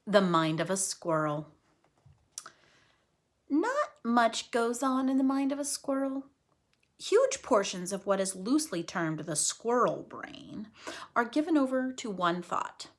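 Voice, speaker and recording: A woman reading a children's story aloud dramatically, stretching key words and dropping into hushed, chatty asides. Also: fairly high pitch, big pitch swings, slow, long pauses.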